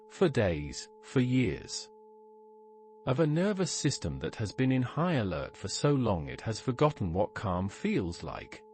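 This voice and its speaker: Slow-paced man guiding a meditation. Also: medium pitch. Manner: measured, instructive, short pausing phrases. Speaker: meditation guide. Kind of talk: scripted guided meditation narration. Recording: steady ambient hum tone behind. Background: sustained ambient tone.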